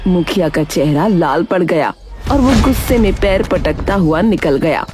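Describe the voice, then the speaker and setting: A woman's voice: on the low side, lively intonation, a little slow. A woman narrating a scripted cartoon moral story with dramatic storytelling delivery. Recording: music behind the voice.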